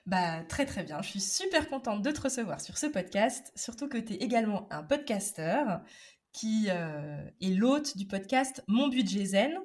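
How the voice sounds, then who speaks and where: A woman's voice: medium pitch, expressive, measured pace, darkish tone. A woman hosting a podcast interview, reading a scripted intro then chatting in a friendly way with fillers. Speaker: podcast host.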